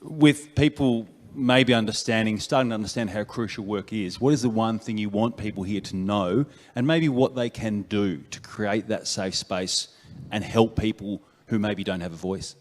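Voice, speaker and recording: A man's voice: on the low side, normal speed. Man emceeing in a reverberant hall. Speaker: awards ceremony host. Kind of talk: onstage interview and emceeing. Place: large event hall.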